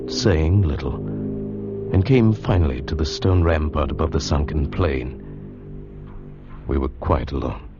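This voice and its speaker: Tormented, spellbound man, muffled old radio. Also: extremely low pitch. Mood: ominous, brooding. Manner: intense, theatrical, dramatic. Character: tormented man spellbound by love and ancient memory. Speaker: actor narrating and playing the lead. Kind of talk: 1940s-50s American radio drama acting. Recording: muffled old radio recording, loud music.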